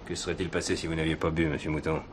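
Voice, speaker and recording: Casting: seasoned police chief, very low voice, quick and blunt, radio crime drama. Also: male voice, little pitch movement, background noise. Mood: measured. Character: calm, authoritative police commissioner, a seasoned male boss.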